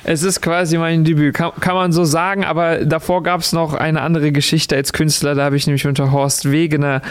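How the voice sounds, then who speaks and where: A man's voice: on the high side, flat delivery, fairly quick, smooth, no echo. A young man from Ecuador, a musician guest on a radio show, talking reflectively and often restarting as he searches for words. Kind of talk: radio interview.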